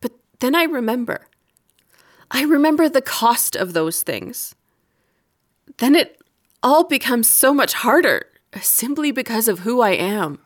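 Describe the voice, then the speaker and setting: A resentful, unyielding wife in an audio drama. Her voice sits somewhat high. She speaks in a measured, pointed way, weary and wry, with bitterness held just under the surface. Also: big pitch swings. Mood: hurt, frustrated.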